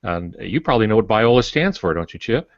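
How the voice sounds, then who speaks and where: An older man co-hosting a podcast, speaking informally and haltingly with chatty asides. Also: fairly low pitch, sing-song, no echo. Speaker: podcast co-host, author. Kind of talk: informal guest introduction. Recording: dull, dry lo-fi audio.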